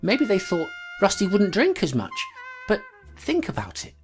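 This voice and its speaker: A woman's voice: medium pitch, huge pitch swings, moderate pace, music in the background. A woman playing a determined constable who talks bluntly and reasons things out aloud.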